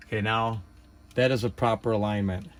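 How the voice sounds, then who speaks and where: A man with a fairly low voice talks through a hands-on demonstration in a casual, homemade style. He thinks aloud with some hesitation and an unpolished delivery. Background noise is audible behind him. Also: steady tone, normal speed. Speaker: hobbyist demonstrator. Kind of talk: casual hands-on tutorial narration.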